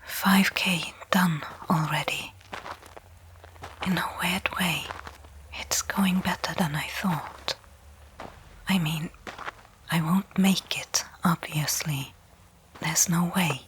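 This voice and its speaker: A woman's voice: fairly low pitch, fairly even intonation, slow, pauses often, slight background noise, poor audio. A woman playing a stranded space explorer, resigned yet hopeful, whispering a candid, conversational log in a sci-fi ASMR roleplay. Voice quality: soft whisper.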